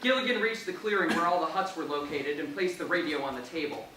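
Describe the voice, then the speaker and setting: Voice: mid-range voice, quick pace, sharp tone, far from the mic. An amused host introducing and narrating a comic staged reading in a casual way, prone to laughing. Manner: amused, often breaking into laughter. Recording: echoey, very noisy room, off mic.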